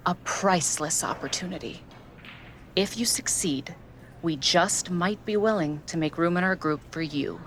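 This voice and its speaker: An actress playing a sultry, scheming female wrestling villain in a video game cutscene, with a slow, teasing, menacing delivery. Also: lowish voice, a little hiss or hum, close to an everyday voice. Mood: breathy menace.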